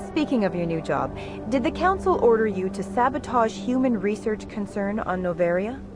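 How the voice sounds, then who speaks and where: A woman's voice: mid-range voice, expressive, normal speed, natural voice. Polished, pushy female news reporter actress over music. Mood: probing. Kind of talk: video game acting as news interview.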